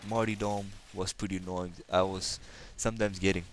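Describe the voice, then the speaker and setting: A man's voice: deep voice, level tone, moderate pace, slight background noise. A man from Kazakhstan, a native Russian speaker with a slight Russian accent, giving rambling, hesitant gameplay commentary full of fillers. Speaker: gaming channel host.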